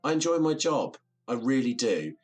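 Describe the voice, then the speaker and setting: An actor playing a lonely, reserved bachelor neighbour in an audio drama, diffident, wistful and self-deprecating. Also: male voice, mid-range voice, very animated, normal speed, faint music behind. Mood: downbeat, wistful.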